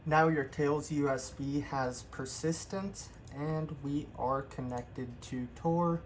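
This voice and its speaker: Slow, unhurried man walking through steps. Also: on the high side, fairly even intonation, slight background noise. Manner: unhurried step-by-step explaining with fillers. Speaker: tech tutorial host. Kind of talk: screen-recorded how-to walkthrough.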